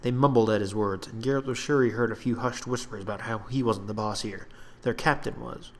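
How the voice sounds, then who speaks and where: A man reading his own fantasy story aloud in an expressive, dramatic narration. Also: fairly low pitch, a little fast, slight background noise. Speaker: author reading own book.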